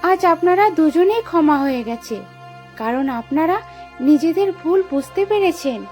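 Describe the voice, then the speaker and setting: Storybook heroine performed by a woman: forgiving young princess, composed, high voice over faint music. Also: animated, a little slow, a little mellow. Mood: consoling. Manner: earnest, composed, consoling. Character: gentle, forgiving young princess with noble resolve. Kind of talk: fairy-tale cartoon acting.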